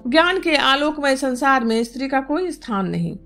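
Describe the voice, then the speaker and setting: A woman voicing a stern, composed young male yogi in a storybook reading, with grave, deliberate, formal pronouncements. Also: high voice, sing-song, a bit bright, heavily acted. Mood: stern, reserved. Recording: music bed behind the voice.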